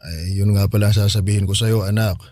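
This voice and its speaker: A man's voice: lowish voice, steady tone, fast, a little mellow, dry recording, clean studio sound, natural voice. An actor playing a persuasive, evasive middle-aged father with a Filipino accent, casual and coaxing, in a radio drama.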